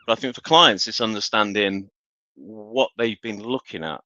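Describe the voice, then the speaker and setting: Male webinar expert, forceful low voice, explanatory, slightly dull call audio. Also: moderate pace, no room sound. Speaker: industry expert panelist. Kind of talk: conversational business webinar discussion. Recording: dull, band-limited online call audio.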